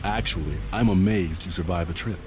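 A man's voice: low-pitched, a little fast, a little mellow, a little hiss or hum, natural voice. A man with an American accent playing a brusque, businesslike federal agent in a video game. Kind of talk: video game cutscene acting.

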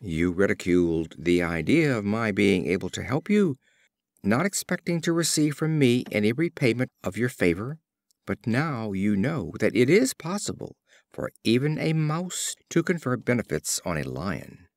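A man's voice: lowish voice, very animated, normal speed, no echo. A man voicing a small, earnest, grateful mouse in a storybook fable. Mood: proud. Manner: piteous, earnest entreaty.

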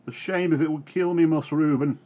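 A man's voice: on the high side, fairly even intonation, brisk, pitched above the performer's own voice, natural voice. Man reading as an old farmhand, quavering, raised voice, slightly muffled recording. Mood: indignant. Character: aged male farmhand, fond of beasts. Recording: dull recording, faint hiss.

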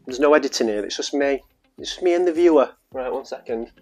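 A man's voice: medium pitch, fast, darkish tone, soft background music. A young man with a Northern English accent, chatting playfully to camera in a vlog, rambling with fillers and asides, on a lapel mic in a small room. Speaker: vlogger. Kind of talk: casual chat to camera. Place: small room at home, later outdoors in a park.

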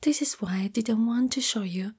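A woman playing a shy, loving young spider-girl in an intimate, breathy whispered ASMR roleplay, speaking hesitantly and afraid of rejection. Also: fairly high pitch, moderate pace, darkish tone. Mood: hurt, pleading. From Italy.

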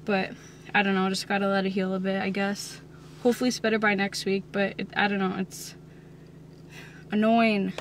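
A woman's voice: mid-range voice, flat delivery, normal speed, a little hiss or hum. A young woman in her twenties casually talking to her phone camera at home, chatty and a bit self-conscious. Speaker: fitness vlogger. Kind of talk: casual vlog talk to camera. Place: home rooms, gym room and a parked car. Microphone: handheld phone camera at arm's length.

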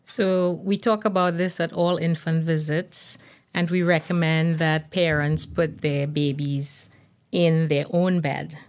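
An older woman gives measured, explanatory answers as a pediatrician guest in a conversational interview. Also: low voice, fairly even intonation. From Trinidad and Tobago. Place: small indoor podcast studio. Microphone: boom-arm podcast microphone.

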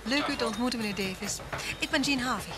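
An actress playing a chatty, friendly, inquisitive schoolteacher in a radio play. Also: female voice, a little high, quick pace, close to an everyday voice. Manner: sociable, forthcoming, questioning. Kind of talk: vintage Dutch radio play acting. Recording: noisy old radio play recording.

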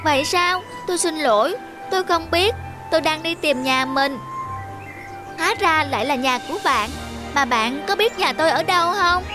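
A woman as a high, naive cartoon snail. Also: moderate pace, natural voice. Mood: puzzled, forlorn. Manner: innocent, wondering. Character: small naive homeless snail, puzzled and forlorn. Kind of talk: animated fairy-tale dub. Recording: music under the voice.

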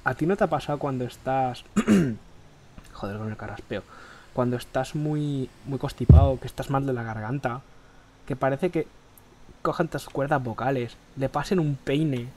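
A man from Spain chatting while he plays, rambling and crude, keeps clearing his throat, speaking into a headset mic. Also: medium pitch, moderate pace, darkish tone, slight background noise. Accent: Spain Spanish. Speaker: gaming streamer. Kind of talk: casual gameplay chat. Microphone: headset mic close to the face.

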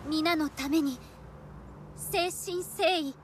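High-voiced, solemn young noblewoman. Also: steady tone, a little hiss or hum. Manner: solemn, formal, measured. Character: dignified, earnest young samurai-era noblewoman. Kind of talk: dramatic game acting.

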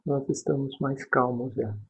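A man with a Brazilian accent leading a guided sleep meditation, calm and unhurried, pausing between short phrases in a husky, breathy voice. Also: mid-range voice. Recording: dull, muffled lo-fi recording.

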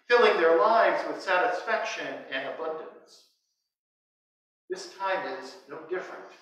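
In a very echoey church, picked up from a distance, an older American man in his sixties delivers a sermon. His voice is high for a man, and he moves through short, measured phrases with pauses between them. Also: fairly bright tone. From United States. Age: 60s. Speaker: priest. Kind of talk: sermon read from notes. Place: inside a church, standing before the altar. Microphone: distant microphone, speaking through a face mask.

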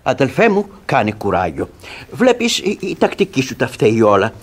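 An actor playing a reasonable, tolerant elder brother in a classic radio comedy, with theatrical delivery. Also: male voice, medium pitch, slightly rough. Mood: reproving, genial. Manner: theatrical, expressive.

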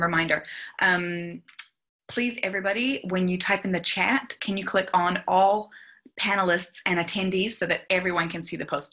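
Bright-voiced young woman hosting a webinar. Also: fairly low pitch. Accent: faded New Zealand. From New Zealand. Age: young adult. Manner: friendly, chatty, explanatory. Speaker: speech therapist presenting a webinar. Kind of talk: webinar presentation. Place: small room at home. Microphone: video call.

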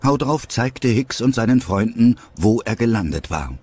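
A man's voice: medium pitch, lively intonation, normal speed, a little mellow, faint music behind. A man reading scripted narration for an audio drama.

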